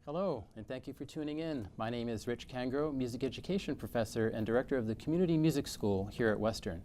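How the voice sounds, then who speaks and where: A man's voice: medium pitch, fast talker. A man hosting an interview as a music professor. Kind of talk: hosted interview.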